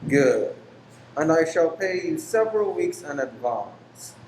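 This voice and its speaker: An actor in a radio play playing a weary, pious, secretive lodger who is formal, exacting and easily angered. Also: male voice, on the high side, sing-song, takes it slowly, darkish tone. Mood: formal, businesslike. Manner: formal, exacting, abrupt then apologetic. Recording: roomy echo with faint background noise.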